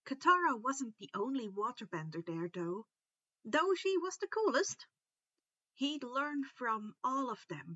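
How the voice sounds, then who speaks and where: Female story narrator, highish and lively pitch, slightly muffled dry home-style recording. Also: no room sound. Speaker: fan fiction narrator. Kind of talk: animated storybook-style read-aloud narration.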